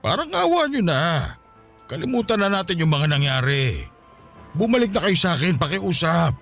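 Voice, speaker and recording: A man's voice: a little high, big pitch swings, moderate pace, creaky, a bit bright, faint music behind, exaggerated voice. A man acting a pleading, bitter, drunken husband and father in a Filipino radio drama. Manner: wheedling, then accusing.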